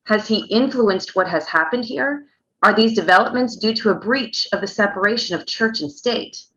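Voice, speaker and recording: A woman's voice: mid-range voice, moderate pace, fairly bright tone, slight background noise, lightly acted. A woman playing a skeptical news reporter in a screenplay table read, asking pointed questions. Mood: skeptical, probing. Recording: dull lo-fi online audio.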